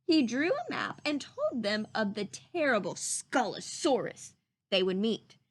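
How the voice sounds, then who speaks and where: A woman reading a children's story aloud in an animated, emphatic, teacherly way. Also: fairly high pitch, a little slow. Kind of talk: expressive read-aloud narration with teacherly questions to listeners.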